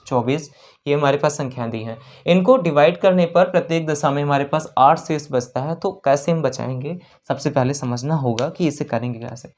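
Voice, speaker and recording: A maths teacher with a North Indian accent and a medium-pitched voice explains exam problems one step at a time. Key phrases get repeated, with frequent little check-ins for learners. English numbers and terms are mixed into the explanation. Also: a little mellow.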